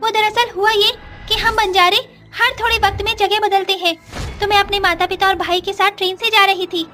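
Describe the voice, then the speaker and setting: A woman's voice: extremely high pitch, little pitch movement, brisk, sharp tone. A woman voicing a young, earnest ghost nomad girl in a dramatised children's story. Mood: pleading, earnest. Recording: music under the voice. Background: music.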